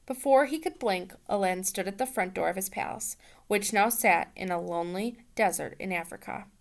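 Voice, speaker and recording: Female teacher type for a storybook read-aloud; expressive, playful, informal. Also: medium pitch. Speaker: teacher reading aloud to students.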